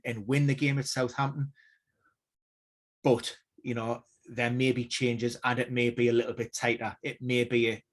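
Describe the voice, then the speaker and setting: A man giving injury news analysis on a casual live stream, measured and hedging, with many fillers and restarts. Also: medium pitch.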